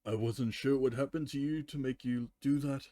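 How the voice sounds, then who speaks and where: A man's voice: medium pitch, steady tone, moderate pace, dark tone, natural voice. A man voicing a sarcastic, dismissive female pony in a fan fiction reading, with a flippant, mocking delivery.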